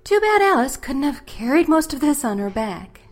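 A woman's voice: sits high, big pitch swings, moderate pace. A woman acting in an audio drama as a protective, teasing mother who fusses affectionately and speaks bluntly. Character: protective, teasing mother of a blind college student.

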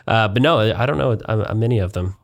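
A young man from Kansas with a somewhat low voice talks casually in an interview. He rambles along, full of ums and restarts, and slips in dry, self-deprecating jokes. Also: quick pace, vocal fry. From Wichita, Kansas. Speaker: parish communications and development coordinator, guest.

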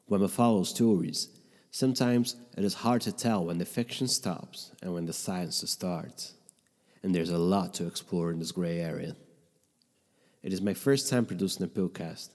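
Deep, husky man narrating a podcast. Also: normal speed, a little mellow, big-room sound. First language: Portuguese. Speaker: podcast host. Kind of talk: scripted narration. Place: bedroom in a noisy neighborhood.

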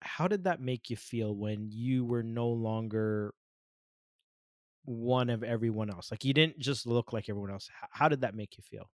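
Brief: male interviewer, mid-pitched, measured and probing, dry close sound. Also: dry recording. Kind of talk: interview questions.

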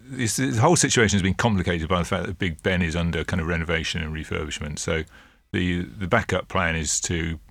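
A man co-hosting a political podcast, explaining in a measured, hesitant way with many fillers and sounding a little under the weather. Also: sits low, creaky, a bit bright, no echo. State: a bit ill. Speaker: co-host and political editor. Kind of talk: casual political podcast chat.

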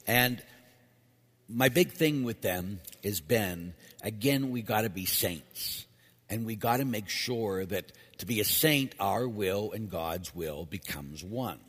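Hoarse priest preaching in an echoey church. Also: male voice, lowish voice, animated, slow, a bit bright. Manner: conversational preaching, emphatic repetition. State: losing his voice. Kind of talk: homily.

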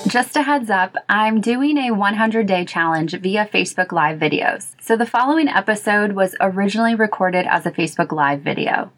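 A woman's voice: mid-range voice, fairly bright tone. Earnest lady hosting her own podcast, encouraging, dry no-echo audio. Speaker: podcast host. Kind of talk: inspirational faith talk. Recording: recorded as a live video.

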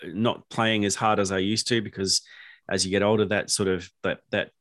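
A man's voice: lowish voice, normal speed, no room sound. A middle-aged man, a podcast guest chatting casually and laughing easily. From New Zealand. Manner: laughs easily, restarts sentences, relaxed. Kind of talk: casual interview chat.

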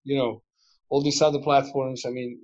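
Man, forties, from Montenegro; halting delivery full of fillers, dull lo-fi call sound. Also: medium pitch, flat delivery, a little mellow. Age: 40s. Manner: halting, many fillers and restarts. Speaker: basketball club figure, former player. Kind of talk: remote interview.

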